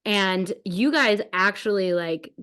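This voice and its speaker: A woman hosting a podcast, chatting casually in a gushing, animated and giggly way. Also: mid-range voice, slow pace, clear, resonant tone. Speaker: podcast host. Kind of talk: casual enthusiastic chat.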